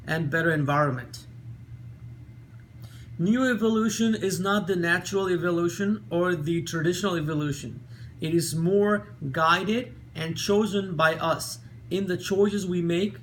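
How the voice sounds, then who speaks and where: A man from Nepal with a Nepali accent, reading an earnest talk with careful pauses and small slips. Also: high voice, fairly bright tone, slight background noise. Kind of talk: read-aloud video talk.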